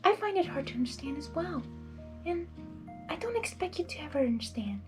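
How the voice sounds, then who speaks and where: A woman voicing a gentle, shy but defiant young female pony in a storybook, speaking earnestly. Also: high voice, big pitch swings, fairly quick, over music, voice pushed up. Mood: soft, earnest. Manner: soft, earnest.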